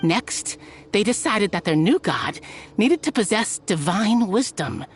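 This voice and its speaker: A woman narrating a story scene in a video game with even storytelling. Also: medium pitch, unhurried, soft background music.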